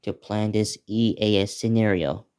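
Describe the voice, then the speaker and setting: A teenage male video creator giving a casual, unscripted preview, talking in a halting, repetitive way as he lists things. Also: lowish voice, steady tone, darkish tone, clear, resonant tone. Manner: halting, repetitive, listing place names.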